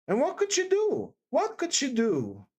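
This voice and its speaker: A woman voicing a timid, distressed young woman in an audiobook, pleading fervently with halting repetitions. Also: fairly high pitch, big pitch swings, breathy edge, pitched above the performer's own voice. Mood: agitated, protesting. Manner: halting, stammering, breathless repetitions and emphatic exclamations. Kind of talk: dramatised audiobook character dialogue.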